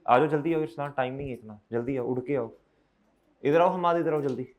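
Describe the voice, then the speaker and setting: A man, lecturing accounting students in a hall and loudly teasing and scolding them. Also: medium pitch, brisk, dull audio. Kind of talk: lively classroom lecture.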